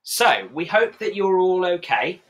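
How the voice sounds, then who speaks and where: A man's voice: high voice, big pitch swings, measured pace, a bit bright, slightly rough. A young man hosting a children's cooking demo in a chatty, encouraging way. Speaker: children's show host. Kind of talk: cheerful kids' cooking demo.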